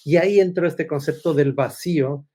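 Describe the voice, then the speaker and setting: A middle-aged man from Ecuador speaks in a fairly high voice. He talks like a guest in a casual conversation, telling things in a roundabout way and adding small tag questions. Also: normal speed, dark tone. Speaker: podcast guest, agile consultant and coach.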